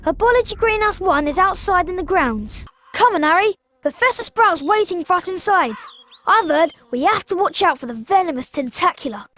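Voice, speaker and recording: An extremely high, girlish video game voice. This young schoolgirl witch is bookish, eager and bossy, always prompting and hurrying others along. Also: animated, moderate pace, fairly bright tone. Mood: eager, urging. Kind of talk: video game character acting.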